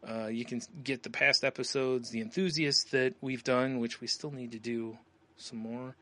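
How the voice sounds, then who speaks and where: A man's voice: medium pitch, fairly even intonation, normal speed, sharp tone. An American man hosting a podcast in a casual, loose, rambling way. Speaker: podcast host. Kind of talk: casual chat, show plugs.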